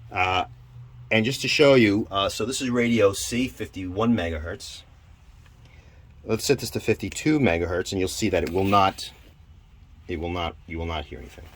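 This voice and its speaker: A man giving an informal hands-on equipment demonstration, halting and thinking aloud as he goes. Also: sits low. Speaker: presenter demonstrating radio equipment. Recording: clear background noise.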